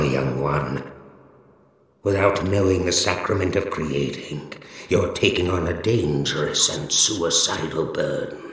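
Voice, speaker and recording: An actor playing a huge, deformed, zealous monster from a video game, pompous and accusing, preaching in measured archaic phrases with a gravelly bass. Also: male voice, heavily acted. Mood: condemning, wrathful. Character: huge deformed zealous monster keeper of heaven, stern and menacing. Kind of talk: video game character voice lines, solemn dramatic monologue. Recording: cavernous echo with long reverb tail.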